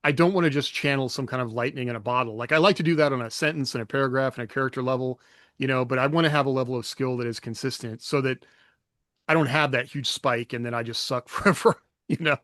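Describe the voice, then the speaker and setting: A man's voice: medium pitch, fast, fairly bright tone, no room sound. Reflective male podcast co-host, dry sound. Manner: reflective, hesitant, laughs often. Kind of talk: casual chat.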